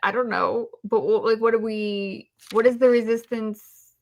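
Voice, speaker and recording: A woman with a slightly high voice speaks as a podcast co-host. She gives casual critical commentary in a blunt, opinionated way. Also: fairly even intonation, unhurried, dull audio. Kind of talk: casual critical review chat.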